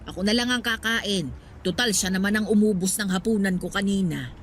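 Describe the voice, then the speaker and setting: A woman's voice: medium pitch, fairly quick, a little hiss or hum, lightly acted. A woman playing an elderly Filipina mother in a radio drama, frank, motherly and reflective, with a Filipino accent. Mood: chatty, light. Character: elderly poor mother, frank, wistful, contentedly devoted wife.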